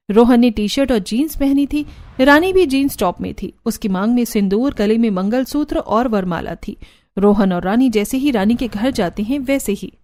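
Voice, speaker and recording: High-pitched woman narrating a scripted story. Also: a little mellow.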